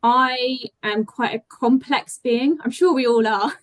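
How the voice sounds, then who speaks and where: A woman's voice: a little high, unhurried. British-sounding woman presenting her research. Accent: British English. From Spain. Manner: thoughtful, with frequent fillers and self-corrections. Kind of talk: conference talk.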